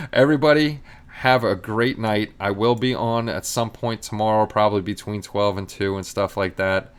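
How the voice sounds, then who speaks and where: A man's voice: on the low side, moderate pace, clear, resonant tone. A middle-aged man hosting a casual live stream, rambling easily and chatting with his viewers.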